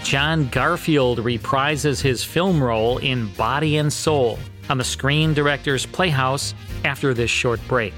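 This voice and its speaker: American radio host over soft music. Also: male voice, medium pitch, measured pace. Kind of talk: scripted promotional narration.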